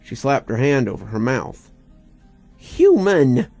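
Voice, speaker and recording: A man narrates a story slowly and dramatically, with plenty of gravelly fry in a mid-pitched voice. Music runs underneath the narration. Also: lively intonation, a little mellow, no room sound.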